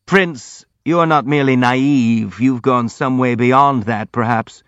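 A man's voice: mid-range voice, normal speed, fairly bright tone, dry recording, muffled recording, pitched above the performer's own voice. Man voicing a sneering, insolent young nephew. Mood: mocking.

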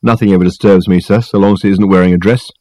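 A man voicing a curt, grumbling, deferential college porter in an audiobook, with a clipped deadpan manner. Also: sits low, fast talker, no room sound, lightly acted. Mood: curt, dour. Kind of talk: dramatised audiobook dialogue.

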